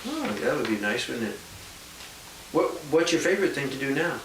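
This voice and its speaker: A man gently asking a young girl questions in a home video interview, coaxing her along with small restarts. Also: mid-range voice, sing-song, normal speed. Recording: echoey, distant, hissy 1990s home video.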